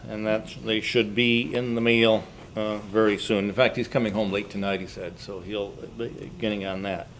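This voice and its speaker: A man chairing a club meeting, giving informal announcements in a halting, rambling way. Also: fairly low pitch, little pitch movement, fairly bright tone, poor audio. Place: indoor club meeting room. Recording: faint background noise in meeting room.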